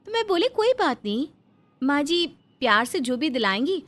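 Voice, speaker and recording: Pampered young daughter-in-law played by a woman, very high voice, sugary and placating, cartoon family melodrama. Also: very animated, normal speed, a bit bright. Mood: sweetly complaining. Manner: coaxing, wheedling, meekly placating. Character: sweet-talking young daughter-in-law, pampered rich wife. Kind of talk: melodramatic Hindi moral-story cartoon acting.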